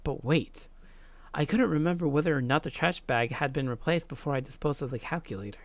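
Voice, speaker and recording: Low-voiced woman doing a let's play. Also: brisk, no room sound. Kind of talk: reading visual novel narration with casual gaming commentary.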